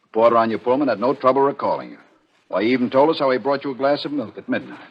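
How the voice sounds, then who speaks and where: An actor playing a calm, patronizing homicide inspector in a 1940s radio thriller, soothing and official. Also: male voice, mid-range voice, racing, slightly rough. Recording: dull old radio recording.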